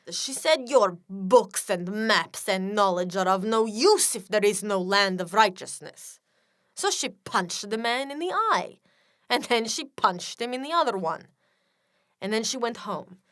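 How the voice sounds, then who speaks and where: An actress playing a guarded, wry Soviet embassy woman in a radio play, coolly persuasive, with measured, formal phrasing and deliberate pauses. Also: fairly high pitch, a bit bright, exaggerated voice. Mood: dark, intense.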